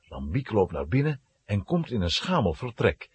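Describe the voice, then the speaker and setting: A man's voice: fairly low pitch, very animated, moderate pace, a little mellow, breathy edge. A man narrating a children's adventure story as a dramatic, scripted storyteller.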